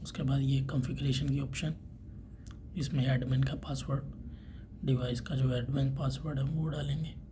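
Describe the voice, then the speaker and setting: A man's voice: medium pitch, flat delivery, normal speed. A man giving an informal step-by-step tech tutorial, talking through each step as he does it. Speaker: tutorial presenter. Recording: clearly noisy background ambience.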